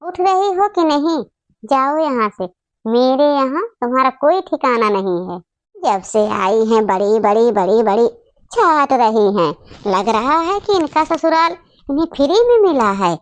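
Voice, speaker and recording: A woman's voice: high-pitched, expressive, unhurried, dry recording. A woman voicing an angry, fed-up mother in a cartoon, scolding emphatically and repeating herself. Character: angry, fed-up mother throwing out her boastful daughter.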